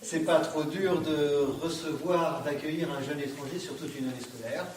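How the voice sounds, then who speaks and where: Male interviewer, slightly high voice, courteous and inquiring, distant echoey pickup. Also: steady tone, moderate pace, background noise. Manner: polite, inquiring. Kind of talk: street interview. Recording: distant mic, echoey room.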